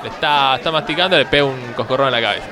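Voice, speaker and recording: A man with a Rioplatense Argentine accent playing a bumbling, callous doctor in a radio comedy sketch, deadpan and hesitant. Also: medium pitch, very fast, over music, a crowd in the background, close to an everyday voice. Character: shady, bumbling thirty-something doctor, casually callous.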